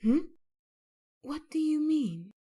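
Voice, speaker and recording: A woman playing a kind, naive, motherly goat-woman goddess in a comic dub, speaking gently and formally. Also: high-pitched, sing-song, slow pace, heavily acted. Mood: innocent, delighted. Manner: gentle, formal, careful phrasing. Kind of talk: fan comic dub voice acting.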